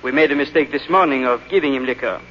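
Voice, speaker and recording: An actor playing a smoothly polite but menacing French police chief in a 1940s radio drama, speaking deliberately and formally. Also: male voice, mid-range voice, sharp tone, a little hiss or hum, lightly acted. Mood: silky menace. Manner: suave, deliberate, coldly formal. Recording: muffled, reverberant old radio recording.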